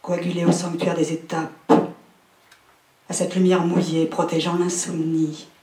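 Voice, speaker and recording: A man recites verse in a fairly high voice. His delivery is slow, and the room echoes clearly around him. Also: monotone, a little mellow. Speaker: reader at a poetry event. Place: indoor literary event room.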